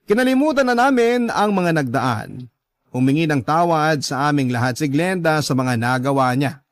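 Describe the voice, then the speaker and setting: Higher-pitched Filipino man narrating a letter. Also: dry recording. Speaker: radio host reading a listener's letter. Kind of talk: dramatic, heartfelt letter narration.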